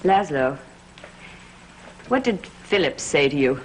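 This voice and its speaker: A woman's voice: low-pitched, sing-song, slow pace, slight background noise, heavily acted, rough recording. An actress playing a stern, sardonic coven woman in a gothic soap opera, imperious and biting. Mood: sardonic, suspicious.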